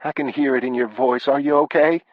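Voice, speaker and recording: A man's voice: medium pitch, fairly even intonation, fairly quick, no echo, narrow, tinny audio, pitched above the performer's own voice, lightly acted. A man voicing an anxious young wife from the American South, pleading in a plaintive, worried drawl. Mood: worried, reproachful.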